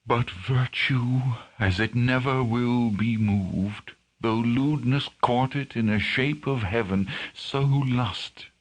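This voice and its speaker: A man's voice: medium pitch, takes it slowly. An actor playing the grieving, bitter ghost of a betrayed king in a solemn, theatrical Shakespearean reading. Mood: solemn disgust. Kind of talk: dramatic Shakespearean audiobook reading. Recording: faint music behind.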